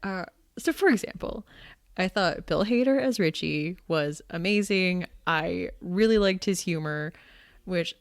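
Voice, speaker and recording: Female podcast co-host, lowish voice, dry sound, lively and opinionated. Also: a little slow, dry recording. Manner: lively, opinionated, laughs often. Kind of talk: casual chat.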